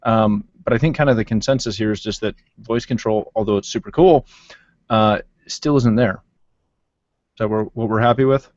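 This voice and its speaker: A podcast host talking on a muffled video call. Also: male voice, lowish voice, normal speed, a little mellow, no room sound. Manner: loose, filler-heavy talk with many restarts. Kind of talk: casual video-call panel chat. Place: home office with shelves and wall clock. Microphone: desk mic on video call.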